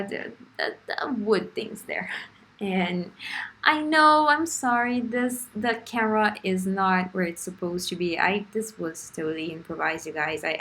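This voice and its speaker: A woman's voice: on the low side, fairly bright tone, a little hiss or hum. A young woman from Brazil with a Brazilian Portuguese accent, narrating her first craft video in a chatty, self-deprecating way with frequent laughs and restarts. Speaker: art vlogger. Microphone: phone.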